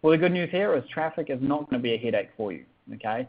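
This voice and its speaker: A man's voice: mid-range voice, animated, fast. A man in his thirties with a New Zealand accent, hosting an upbeat sales webinar and often tagging lines with "okay?". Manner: upbeat, keeps asking for chat replies, tags lines with "okay?". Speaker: webinar presenter. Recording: tinny phone-like audio.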